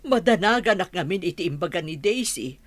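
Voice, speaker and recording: Fretful, animated mother. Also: on the low side, fairly quick. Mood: anxious warning. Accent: Ilocano. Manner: hesitant, fretful. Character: anxious, cautious middle-aged mother and wife. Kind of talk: radio drama acting.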